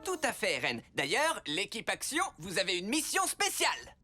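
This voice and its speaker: A man's voice: high voice, huge pitch swings, normal speed, loud music behind the voice. A man voicing an upbeat, encouraging superhero schoolteacher in a French children's cartoon dub. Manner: encouraging, theatrical, announcing.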